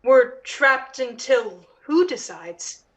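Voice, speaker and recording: A woman's voice: high-pitched, expressive, takes it slowly, a bit bright, exaggerated voice. A woman playing a sullen, skeptical child in an audio drama, terse and questioning. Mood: curious.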